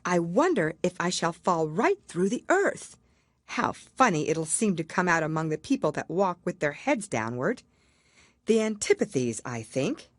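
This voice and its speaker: A woman's voice: lowish voice, very animated, unhurried, dry recording. Storybook little girl, voiced by a woman: dreamy, inquisitive chatterer, thinking aloud, very varied intonation, dry sound. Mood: curious, musing. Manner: musing aloud to herself.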